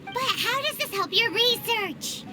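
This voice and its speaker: A woman voicing a tiny, childlike fairy companion in a video game, squeaky, excitable and cheeky. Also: measured pace. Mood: curious, flustered. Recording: loud music behind the voice.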